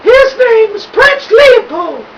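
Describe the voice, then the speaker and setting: A man voicing a sweet, unflappable old woman who calls out in a high, drawn-out voice from a rowboat. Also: sing-song, far from the mic, lo-fi sound, noisy background, full character voice. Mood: unperturbed. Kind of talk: audiobook character voice.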